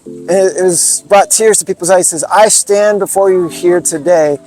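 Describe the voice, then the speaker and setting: American man, high-pitched voice, earnest storyteller, faint music underneath. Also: normal speed, mellow, rough recording. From Montana, USA. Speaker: interview guest, speaking club founder. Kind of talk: relaxed conversational interview.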